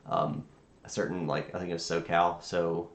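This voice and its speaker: A man streaming as a virtual air traffic controller, chatting casually in a halting, rambling way as he thinks aloud. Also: low voice, flat delivery. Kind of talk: casual livestream chat. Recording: roomy sound with faint background noise.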